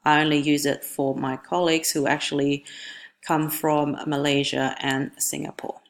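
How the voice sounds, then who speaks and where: A young woman whose first language is Indonesian, giving a careful, measured class presentation on her coursework, with frequent ums and small self-corrections. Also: deep voice, little pitch movement, slight background noise. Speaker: student presenting an assignment.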